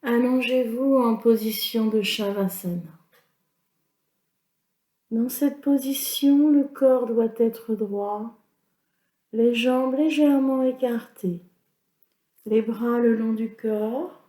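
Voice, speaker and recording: Woman, meditation guide, very unhurried, mellow timbre, calm instructional delivery, echoey room sound. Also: a little high. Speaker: yoga nidra instructor. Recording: roomy, echoing sound.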